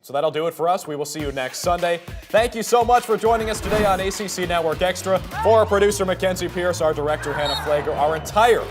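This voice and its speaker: A man's voice: sits high, quick pace, few pauses, soft background music. A man in his early twenties working as a sports broadcaster, with polished commentary and reporting. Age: early 20s. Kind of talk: play-by-play commentary, interviews and studio reporting.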